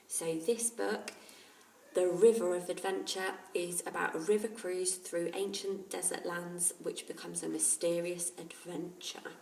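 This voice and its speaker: A woman's voice: fairly low pitch, steady tone, a little slow, gravelly, a little mellow, slight background noise. A woman teacher narrating a children's story aloud. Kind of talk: children's audiobook-style narration. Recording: roomy, distant microphone.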